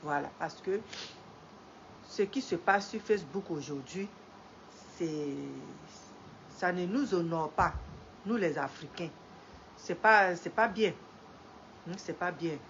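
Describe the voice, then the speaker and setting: A middle-aged woman speaks slowly and in a fairly low voice, congested from a head cold. She repeats her points over and over, building into emphatic preaching. The stream audio is dull and lo-fi, with faint ambience behind her. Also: a bit bright, slight background noise. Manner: repeats phrases, rising emphatic when preaching. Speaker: live streamer greeting viewers. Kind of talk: slow moralizing live-stream talk.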